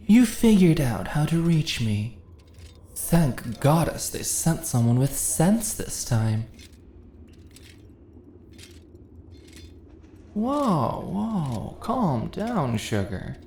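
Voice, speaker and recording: A deep, mellow-voiced immortal mistress of a fantasy dungeon, acted by a woman, sly and commanding. She teases and condescends with slow, theatrical persuasion, recorded in an echoey room. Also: sing-song, heavily acted. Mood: amused, soothing. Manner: teasing, condescending, theatrically persuasive, uses pet names. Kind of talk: scripted fantasy ASMR roleplay. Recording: echoey room with faint noise.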